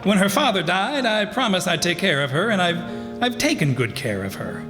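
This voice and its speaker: A man's voice: high-pitched, big pitch swings, normal speed, pushed and bright, exaggerated voice. A man playing an unruffled, dismissive and evasive adoptive father in an old-time radio drama. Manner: unruffled, dismissive, hesitant phrasing. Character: unworried, evasive adoptive father of a wealthy young woman. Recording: echoey hall with music behind.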